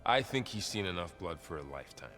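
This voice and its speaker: A man playing a patient, dry-witted hunting mentor in a game cutscene, calm and instructive. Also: deep voice, quick pace. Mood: dry, thoughtful. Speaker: game character actor. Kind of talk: dramatic game cutscene acting. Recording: distant reverberant hall, music behind.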